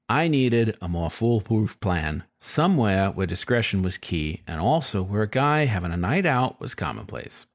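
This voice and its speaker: A man's voice: medium pitch, creaky. A man voicing a sly, frustrated male fox who narrates his story in a wry, confiding, colloquial way, as in an audiobook.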